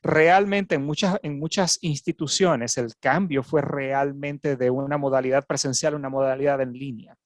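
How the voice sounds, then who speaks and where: A Venezuelan man giving a keynote talk at an academic webinar over a video call, with a Venezuelan Spanish accent, often pausing and repeating words as he goes. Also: mid-range voice, steady tone, dry recording, clean studio sound. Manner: frequent hesitations and repeated words. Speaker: keynote speaker. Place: home office in Canada.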